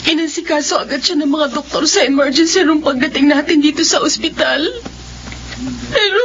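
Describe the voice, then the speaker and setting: An actress playing a fragile, newly widowed wife overcome with grief, with a Filipino accent, in a radio drama. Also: female voice, high-pitched, brisk, highs cut off, background noise. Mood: grieving, tearful.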